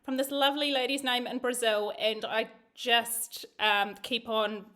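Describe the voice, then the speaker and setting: A woman's voice: a little high, flat delivery, measured pace, fairly bright tone. A woman hosting a live video chat, bubbly and chatty, tripping over names. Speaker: host. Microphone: video call.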